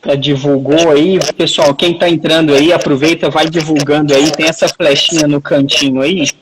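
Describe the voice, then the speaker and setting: A man's voice: on the high side, fairly even intonation, normal speed, a crowd in the background, poor audio. A man in his forties with a Minas Gerais accent, hosting a casual live video call from home, chatty and joking, with many restarts. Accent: Minas Gerais Portuguese. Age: 40s. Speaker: host of a live chat. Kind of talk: casual live-stream chat. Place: at home, near the wifi router.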